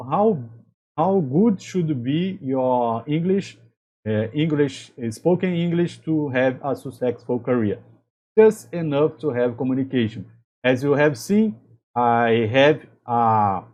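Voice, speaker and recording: A man from Brazil, a native Portuguese speaker with a Brazilian accent, giving career advice to camera as a chemical engineer and channel host, in halting English with frequent restarts. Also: on the high side, darkish tone, lo-fi sound. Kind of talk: talking-to-camera advice video.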